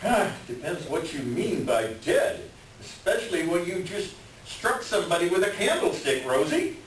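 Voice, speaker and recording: Man playing a glib, high-pitched swindler in echoey room. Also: big pitch swings. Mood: glib, unruffled. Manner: hesitant, halting, smooth-talking. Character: evasive, glib male con man posing as a doctor. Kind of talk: staged readers' theater acting. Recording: echoey room, distant mic, faint noise.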